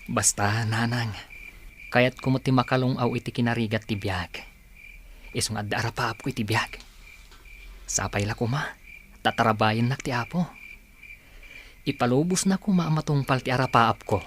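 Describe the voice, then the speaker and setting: A man's voice: medium pitch, normal speed, long pauses, fairly bright tone, slight background noise, close to an everyday voice. A man with a Filipino accent playing a dutiful, humble son with big dreams in a radio drama, speaking earnestly and respectfully.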